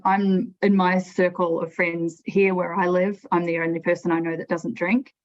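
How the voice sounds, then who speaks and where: A woman with a New Zealand accent talks frankly and loosely, looping back with plenty of "like"s. Her voice sits a little low. The audio is dull and lo-fi, like a remote call. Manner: chatty, frank, frequent restarts and "like". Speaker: guest. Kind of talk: podcast interview storytelling.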